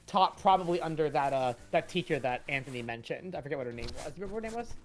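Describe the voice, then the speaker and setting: A woman's voice: low-pitched, animated, racing. A woman talking casually as a comic creator hosting a read-along stream.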